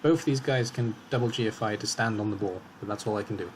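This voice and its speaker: Man calmly commentating his own game. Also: fairly low pitch, fast, a little hiss or hum. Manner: calm, analytical, talks through his moves. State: slightly hoarse.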